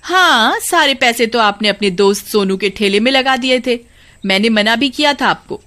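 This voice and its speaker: A woman voicing a practical, sceptical village wife in an animated story, expressive and chiding. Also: fairly high pitch, moderate pace.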